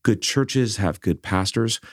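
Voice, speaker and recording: A middle-aged man born in South Korea, leading a seminary, speaking in an earnest, measured way with frequent restarts. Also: lowish voice, no echo, studio quality. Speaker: seminary leader. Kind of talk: conversational interview.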